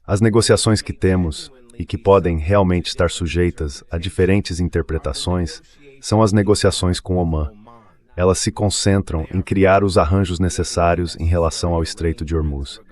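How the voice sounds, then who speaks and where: A man's voice: deep voice, fairly even intonation, normal speed, a little mellow. A synthetic male AI dubbing voice reading a translated official's formal statement to the media.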